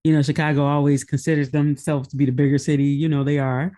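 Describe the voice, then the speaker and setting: A man's voice: on the high side, little pitch movement, fast, warm tone. A man co-hosting a podcast, introducing a guest in an easygoing, rambling way. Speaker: podcast co-host.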